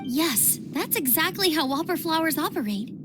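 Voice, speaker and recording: Earnest young adventuring woman, high voice, concerned manner, anime game, loud music behind. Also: lively intonation, normal speed. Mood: awed.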